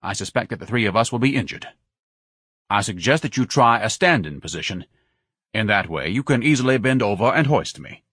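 A man's voice: mid-range voice, big pitch swings, moderate pace, a bit bright, husky, dry recording, clean studio sound, voice pushed up. A man voicing an obese, pompous, indignant man who lectures and complains melodramatically in an audiobook. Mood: didactic.

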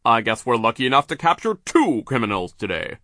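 A man's voice: medium pitch, sing-song, brisk, sharp tone, no room sound, studio quality, voice pushed up. A man voicing a stern, pompous middle-aged banker who speaks formally and with emphasis.

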